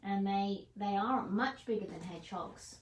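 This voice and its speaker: A woman presenting children's story time, talking through a craft in a gentle, encouraging teacher's way. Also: mid-range voice, measured pace, echoey room. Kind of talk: children's craft demonstration and chat.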